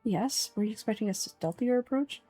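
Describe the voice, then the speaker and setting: Woman acting young male scholar, measured, dry, condescending, mellow low register, fantasy game, faint music. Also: slightly rough, no room sound. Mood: matter-of-fact. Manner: deadpan, measured.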